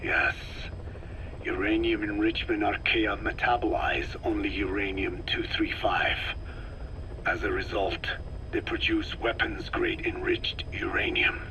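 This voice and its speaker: A man's voice: on the low side, steady tone, noisy background. An actor playing a grave, learned old man, a scientist lecturing formally in a video game cutscene. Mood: measured, lecturing. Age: older. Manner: solemn, deliberate, formal lecturing.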